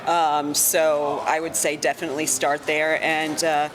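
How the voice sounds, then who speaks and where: A woman giving casual interview answers in a halting, enthusiastic way, as a voice actor. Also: low voice, level tone, rough recording. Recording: busy convention crowd noise. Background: convention crowd chatter.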